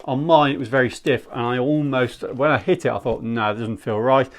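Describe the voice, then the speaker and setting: A man's voice: mid-range voice, steady tone, fairly quick. A man giving a casual, candid product review in a conversational, rambling way. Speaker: airsoft gear reviewer.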